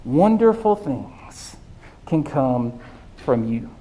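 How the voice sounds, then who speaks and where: Squeaky-voiced preacher, conversational sermon. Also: sing-song, extremely slow, warm tone, low-quality recording. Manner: conversational, keeps asking "right?". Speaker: pastor.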